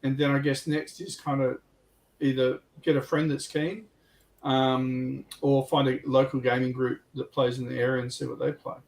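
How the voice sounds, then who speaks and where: A man's voice: medium pitch, little pitch movement, moderate pace, vocal fry, rough recording. A man on a livestream panel giving hobby advice in casual chat, informally thinking aloud.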